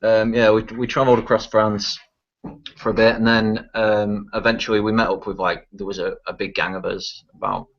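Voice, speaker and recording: A man's voice: little pitch movement, normal speed, fairly bright tone. A young man from England with a Manchester accent, telling a long travel story in casual, rambling, swearing chat. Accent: Manchester English. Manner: rambling and laughing, swears freely. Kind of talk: casual chat on a live stream. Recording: muffled low-fidelity stream audio.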